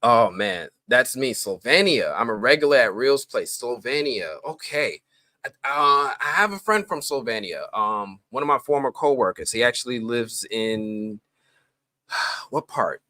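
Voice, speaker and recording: A man hosting a live stream, chatting casually and greeting viewers in slang-heavy talk, his voice jumping up with excitement. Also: mid-range voice, unhurried, no room sound, studio quality. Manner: excitable, voice jumps up when greeting people; relaxed slang-heavy talk with many fillers.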